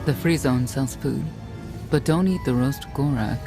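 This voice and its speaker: An actor playing a cryptic, self-assured guide with a creaky voice in a video game. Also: male voice, mid-range voice, measured pace, natural voice. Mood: knowing. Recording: loud music behind the voice.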